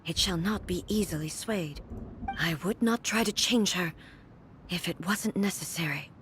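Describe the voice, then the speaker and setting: A woman voicing an imperious goddess ruler from a video game, cold and stern. She speaks in a solemn, measured, commanding manner at an ordinary pitch, with game music beneath her. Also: fairly bright tone, long reverb tail, a little hiss or hum, close to an everyday voice. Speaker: game character.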